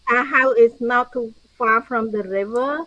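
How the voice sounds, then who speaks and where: A woman's voice: fairly high pitch, normal speed, sharp tone, no room sound, lo-fi sound. An older woman from Cambodia with a Cambodian accent, answering conversationally as an interview guest. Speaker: podcast guest, author and speaker.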